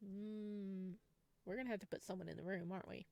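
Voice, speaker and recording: A woman reading a visual novel game aloud in a casual style, breaking into playful, expressive reactions. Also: mid-range voice, brisk.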